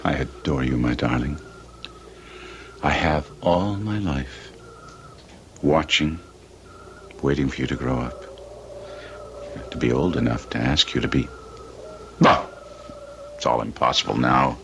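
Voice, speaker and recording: An actor playing an arrogant, scheming Victorian gentleman in an old-time radio drama, theatrical and contemptuous. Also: rumbling low, slow, vocal fry, pauses often, heavily acted. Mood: wooing, self-pitying. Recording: noisy vintage radio recording.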